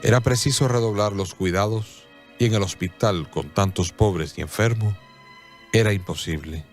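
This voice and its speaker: A man narrating a scripted radio drama in a solemn, measured storytelling manner. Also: lowish voice. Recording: clear music bed behind.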